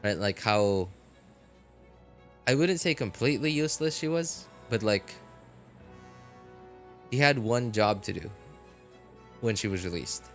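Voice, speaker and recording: A man talking casually on a game live stream, rambling with many fillers and getting animated about his opinions. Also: on the low side, measured pace, long pauses, faint music behind. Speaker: gaming streamer.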